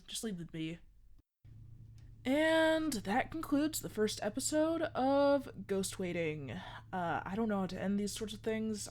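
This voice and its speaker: A woman hosting a horror comedy fiction podcast, rambling along with lots of fillers. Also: medium pitch. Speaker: podcast host playing a restaurant worker. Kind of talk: scripted horror comedy monologue.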